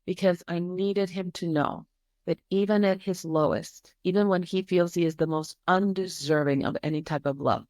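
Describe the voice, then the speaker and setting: A woman's voice: fairly low pitch, fairly even intonation, normal speed, darkish tone, no echo. A woman in her forties from Croatia, a podcast guest telling her family's story candidly, earnest and emphatic, often repeating herself for weight. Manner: earnest, emphatic, leans on repetition.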